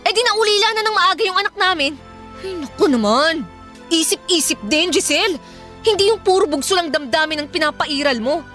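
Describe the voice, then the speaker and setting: A woman's voice: very high voice, animated, fairly quick, sharp tone, soft background music, exaggerated voice. A woman playing an irritable, defiant elder sister and hard-up single mother in a radio drama, scolding and bluntly sarcastic. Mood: resentful, scolding.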